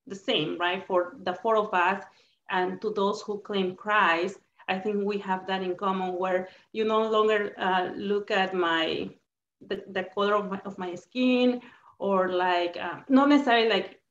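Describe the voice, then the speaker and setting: A woman from Peru with a Spanish accent, talking earnestly as a panelist on a video call and sometimes searching for words. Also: mid-range voice. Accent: Peruvian Spanish. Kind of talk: roundtable discussion. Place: home room on video call. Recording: roomy, muffled video call.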